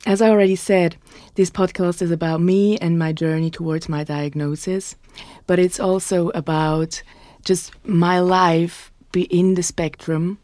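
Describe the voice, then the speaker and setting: A woman in her thirties with a Swiss German accent, hosting her own podcast in an earnest, frank monologue, often adding "like" between quick bursts. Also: lowish voice, steady tone, mellow, dry recording, clean studio sound. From Switzerland. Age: 30s. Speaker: podcast host.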